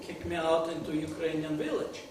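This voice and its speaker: A man's voice: a little high, fairly even intonation, moderate pace. Man, echoey hall. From Soviet Union, grew up in Kyiv. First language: Russian. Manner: joking, laughing, broken English. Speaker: guest speaker at a benefit. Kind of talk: informal talk to an audience. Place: lit stage in an arts center room. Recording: echoey hall, distant mic, noisy.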